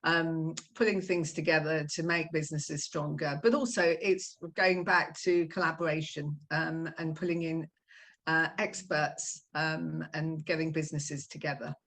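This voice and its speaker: A middle-aged woman being interviewed, talking casually with many pauses and filler sounds. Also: deep voice, fairly even intonation, a bit bright. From Iran. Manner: hesitant, many ums, laughs easily. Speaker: guest.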